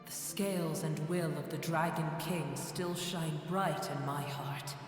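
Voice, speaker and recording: An actor voicing a proud, scornful ancient dragon in a video game, speaking slowly and solemnly. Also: mid-range voice, steady tone, faint music behind, natural voice. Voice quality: slow, grave and solemn. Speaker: game character. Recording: heavy cavernous echo with long reverb.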